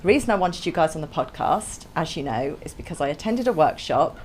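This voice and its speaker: A woman's voice: low voice, expressive, a little fast, darkish tone, slight background noise. A woman hosting a podcast, chatting casually and laughing often. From England. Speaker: podcast host. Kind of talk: casual chat.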